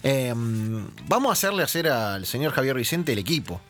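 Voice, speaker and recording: A man with an Argentine accent hosting a radio football show, chatting casually with quick, colloquial asides. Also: mid-range voice, big pitch swings, fairly bright tone. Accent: Argentine Spanish. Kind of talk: casual radio interview chat.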